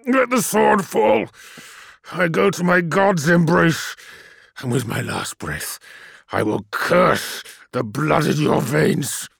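A wounded, grey-haired warlord priest in an audiobook, wheezing and spitting out defiant curses in a high, strained, gravelly voice. He drags out his words slowly, his tone bright and breathy with pain. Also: sing-song, dry recording, studio quality, full character voice. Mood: raging. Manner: screaming, sneering tirade.

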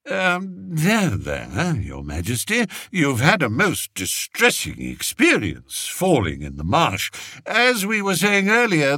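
A man voicing a scheming courtier lord who talks in a low, sly mutter. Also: fairly bright tone, no room sound, clean studio sound, exaggerated voice. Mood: oily. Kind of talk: audiobook character voice.

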